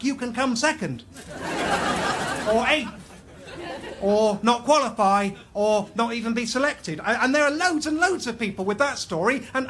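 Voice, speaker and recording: Self-absorbed champion athlete, highish voice, blunt and pedantic, radio sketch. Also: male voice, fairly bright tone, slight background noise. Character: blunt, self-absorbed champion sprint tricyclist, literal-minded man. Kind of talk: radio sketch comedy acting.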